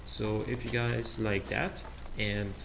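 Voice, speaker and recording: A man's voice: on the low side, level tone, slow pace, gravelly. A young man from Austria, a native German speaker with an Austrian accent, rambling casually with many fillers and restarts while playing a game. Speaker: let's play host. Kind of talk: casual rambling commentary over gameplay. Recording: noisy home recording.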